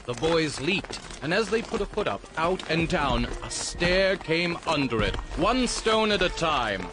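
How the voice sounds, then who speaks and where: An actor playing the narrator of a spooky tale, reading in a dramatic, incantatory audiobook style. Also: male voice, a little high, very animated, a little slow. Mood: vivid, driving. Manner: incantatory, building lists. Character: male storyteller of a spooky, vivid Halloween tale. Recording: prominent music behind the narration.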